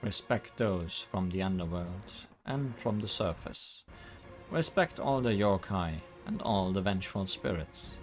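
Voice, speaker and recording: A man's voice: low-pitched, lively intonation, unhurried, soft background music, pitched below the performer's own voice. A man voicing a commanding woman sage who gives a solemn, oratorical speech to rally her followers, in a fan-dubbed animation.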